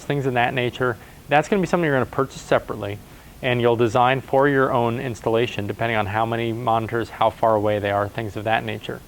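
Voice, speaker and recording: A man's voice: medium pitch, slight background noise. A man with a mid-range voice walks through how a piece of equipment works. He speaks as a product presenter, explaining features step by step in a matter-of-fact, instructional manner. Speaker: product presenter for a door-access installer.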